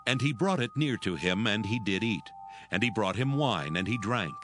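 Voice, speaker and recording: A man narrating a dramatized scripture reading in a measured, solemn storytelling manner. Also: fairly low pitch, expressive, over music.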